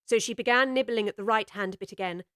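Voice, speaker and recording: A woman reading a storybook as audiobook narrator, in a measured, expressive way. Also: mid-range voice, clear, resonant tone, no echo, studio quality.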